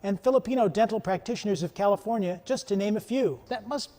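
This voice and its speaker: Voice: medium pitch, quick pace, dark tone. Chatty interview host in a reverberant room. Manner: chatty, repeats words, reads contact details. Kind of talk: promotional interview.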